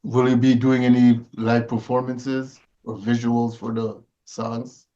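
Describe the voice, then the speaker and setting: A man's voice: mid-range voice, flat delivery, unhurried, mellow, muffled recording. A man interviewing a guest, asking short questions and giving brief affirmations.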